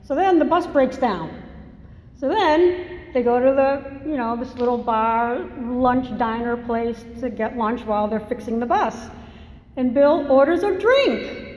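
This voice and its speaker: A woman's voice: a little high, measured pace, darkish tone. An older woman from Massachusetts, with a Massachusetts accent, giving a candid, rambling personal testimony at a recovery meeting, with self-deprecating humor. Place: meeting hall. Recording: echoey hall, faint noise.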